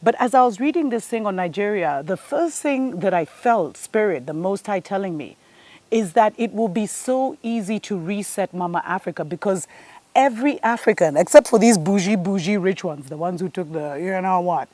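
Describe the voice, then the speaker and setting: A woman's voice: mid-range voice, lively intonation, moderate pace, dark tone, no room sound. Earnest woman preaching to viewers. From Kenya. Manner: earnest and preachy, addresses listeners as "family". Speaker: host of a spiritual show. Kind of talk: spiritual monologue to viewers.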